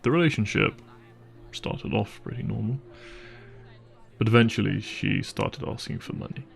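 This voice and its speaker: A man playing a wealthy, caring young boyfriend in an intimate audio roleplay, speaking in a gentle, hesitant and reassuring way. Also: on the low side, normal speed, gravelly. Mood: earnest, explaining.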